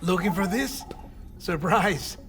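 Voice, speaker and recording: An actor playing an excitable, fussy middle-aged scientist in a cinematic video game, explaining things in a clinical yet chummy manner. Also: male voice, high-pitched, slow pace, noisy background. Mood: eager, explanatory. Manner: explanatory, animated, matter-of-fact.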